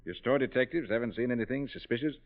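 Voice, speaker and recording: An actor playing a confident, probing American private detective in a 1940s radio drama. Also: male voice, mid-range voice, close to an everyday voice. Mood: brisk, businesslike. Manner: brisk, probing questioner. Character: brisk, confident male private detective in charge. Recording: tinny, band-limited old radio recording.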